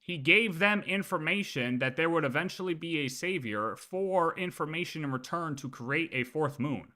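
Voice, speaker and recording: A man's voice: a little high, expressive, normal speed, bright, forceful tone. A man chatting casually on a game livestream, arguing his points insistently and repeating himself. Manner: argumentative, repeats points, swears. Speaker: game streamer. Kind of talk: livestream reaction, casual chat.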